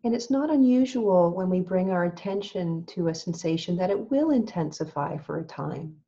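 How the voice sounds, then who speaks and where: A woman teaching meditation in a calm, gentle, measured way, leaving long pauses as she gives instructions. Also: lowish voice, highs cut off.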